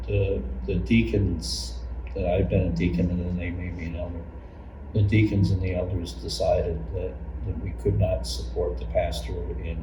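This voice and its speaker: Very deep-voiced man in an echoey room. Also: dark tone. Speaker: church elder recalling past events. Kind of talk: personal reminiscence. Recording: echoey room, distant muffled mic, noise.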